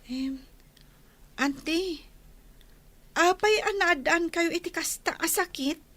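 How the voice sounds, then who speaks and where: Woman playing young dutiful daughter, very high voice, Ilocano Filipino accent, anxious and pleading, radio drama, dry sound. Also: slow, a bit bright, dry recording, exaggerated voice. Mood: meek, puzzled.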